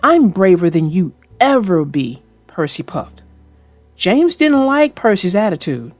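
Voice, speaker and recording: A woman reading a children's storybook aloud in a slow, expressive way, then chatting casually about it. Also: on the low side, no room sound, soft background music. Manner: slow, expressive read-aloud with character voices. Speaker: storybook reader and co-host.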